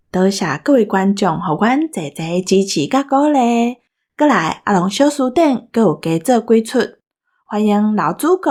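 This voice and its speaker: A woman's voice: on the high side, darkish tone. A woman with a Taiwanese accent giving a casual farewell talk to listeners as a member of an audio team.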